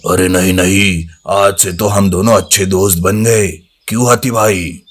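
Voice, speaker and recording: A man acts out a proud lion who rules a cartoon jungle. His voice sits very low and moves slowly. He speaks in a haughty, commanding way, as a king who expects to go first. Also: steady tone. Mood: humbled. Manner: haughty, commanding, drawn-out delivery. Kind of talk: Hindi animated moral story dialogue.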